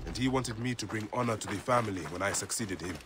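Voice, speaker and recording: An actor playing a steady, proud Egyptian warrior father in a dramatic game cutscene. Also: lowish voice, fast talker, noisy background, natural voice. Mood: fatherly, reassuring. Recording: game music behind the voice.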